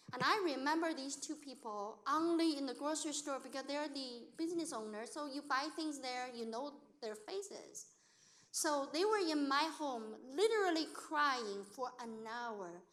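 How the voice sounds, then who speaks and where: A woman's voice: sits high, normal speed. A middle-aged woman whose first language is Chinese, telling personal stories in a talk to an audience, acting out what people said in simple, non-native English. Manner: lively storyteller who acts out quoted speech, in non-native grammar. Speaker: accountant and business owner speaking to an audience.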